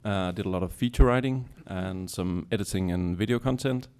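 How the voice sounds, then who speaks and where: A man's voice: low voice, a little fast, darkish tone, smooth. A man speaks to a group. He introduces himself informally, as a teacher would. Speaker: journalism teacher. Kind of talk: informal self-introduction to an audience.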